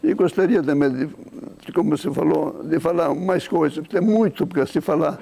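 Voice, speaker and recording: High-pitched, gravelly man. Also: normal speed, warm tone. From Italy. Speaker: interview guest. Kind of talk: conversational explanation of culture and history.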